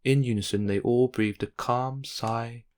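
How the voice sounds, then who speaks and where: A man narrating a children's bedtime story in an expressive, measured read-aloud style. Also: medium pitch, clean tone.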